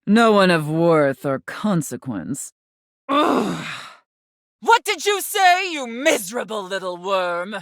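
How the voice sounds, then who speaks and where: A woman's voice: mid-range voice, big pitch swings, gravelly, dry recording, studio quality, full character voice. A woman voicing a domineering, haughty mistress in a video game, theatrical and scornful. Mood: outraged. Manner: haughty, slow, menacing, scornful.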